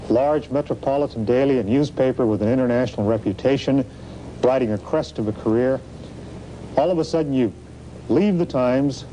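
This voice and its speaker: A man's voice: medium pitch, mellow, poor audio. A man interviewing a guest on an archival TV program, asking direct, probing questions. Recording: old archival TV audio with faint hiss.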